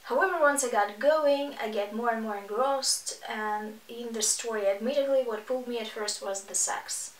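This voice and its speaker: Echoey, high-voiced sarcastic woman. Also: normal speed, few pauses. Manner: sarcastic, exasperated. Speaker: book reviewer video host. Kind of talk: casual reaction commentary, reading reviews aloud. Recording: echoey room, distant mic.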